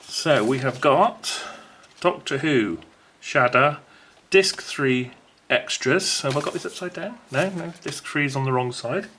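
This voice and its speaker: A man's voice: mid-range voice, lively intonation, a little slow, fairly bright tone, slight background noise. A man presenting an unboxing video in a casual, unscripted way, chatty and rambling as he thinks aloud.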